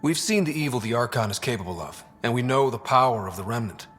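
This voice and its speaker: A man's voice: mid-range voice, expressive, fast, fairly bright tone, soft background music. A man voicing a young human space explorer in a video game, an earnest, decisive leader. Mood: calm, serious.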